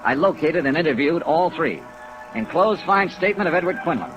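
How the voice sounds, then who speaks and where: A man's voice: a little high, expressive, fairly quick, highs cut off, lightly acted. An American man playing a wry insurance investigator in a 1950s radio serial, clipped and dry. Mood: businesslike narration. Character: brisk, wry insurance investigator narrating his case. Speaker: lead actor and narrator of a detective radio serial. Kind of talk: 1950s radio detective acting. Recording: vintage radio recording with rain and music.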